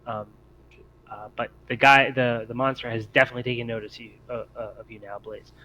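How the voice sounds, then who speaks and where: A man running an online tabletop game as game master, narrating in a halting, informal way. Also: mid-range voice, level tone, sharp tone. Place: online voice call. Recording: muffled audio with clear background noise.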